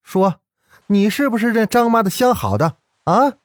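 A man voicing a spiteful, domineering rich wife in an audio ghost story, scolding in a shrill, imperious way. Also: animated, moderate pace, no room sound, clean studio sound. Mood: furious, accusing.

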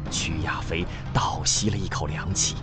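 A man's voice: low voice, fairly even intonation, moderate pace, lightly acted. A man reading dramatic scripted narration for a science fiction radio drama, over music.

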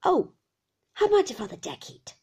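A woman's voice: sits high, wildly swooping pitch, unhurried, a little mellow, slightly rough, highs cut off, full character voice. A woman voicing a fierce, half-mad old male shopkeeper in a storybook, with a whining, throat-rattling, sing-song delivery. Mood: haggling, wailing. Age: older. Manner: wailing sing-song tune, rising and falling, exclamatory.

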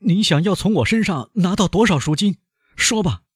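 Man voicing a shrill, frantic captive banker. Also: expressive, moderate pace, breathy edge, no echo, studio quality. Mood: anxious. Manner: shrill, pleading and desperate. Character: frantic aging male banker held captive.

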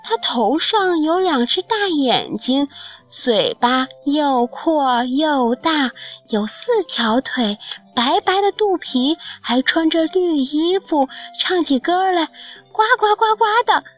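A woman's voice: sits high, sing-song, slow pace, muffled recording, faint music behind, pitched above the performer's own voice, exaggerated voice. A woman voicing little tadpoles as eager, polite children looking for their mother in a children's storybook. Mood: eager, puzzled.

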